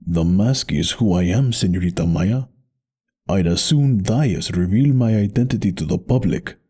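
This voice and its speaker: Guy doing a noble masked luchador hero, low dark voice, grave and formal, audio drama. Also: expressive, moderate pace, husky. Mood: polite, formal.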